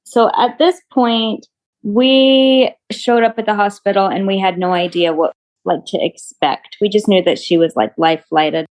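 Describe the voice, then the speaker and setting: A middle-aged woman, a mother and interview guest, telling events in a steady, casual way and filling in details. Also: mid-range voice, unhurried, low-quality recording. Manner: steady, fills in details gently. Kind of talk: casual storytelling.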